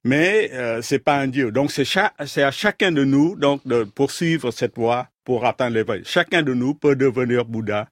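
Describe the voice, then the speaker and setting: A man from Vietnam answers questions as a guest in a radio studio. He speaks in a pitch typical for a man, in a thoughtful, measured way, often pausing and stacking fillers as he builds his point. The sound is close and dry. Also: animated, no echo. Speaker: guest, astrophysicist.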